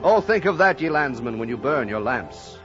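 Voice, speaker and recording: A solemn narrator of a sea tale, voiced by a man, declaims in rhetorical, literary style with a mid-pitched voice. The old radio recording is dull, with clear music playing behind. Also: sing-song, normal speed, natural voice. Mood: solemn, urgent. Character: solemn storyteller of a seafaring tale.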